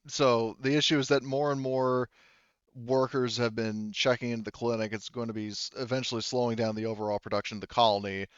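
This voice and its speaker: A man narrating a tabletop roleplaying game as game master, haltingly relaying what characters say. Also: medium pitch, clean tone, dry recording, highs cut off. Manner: halting, reported speech of characters.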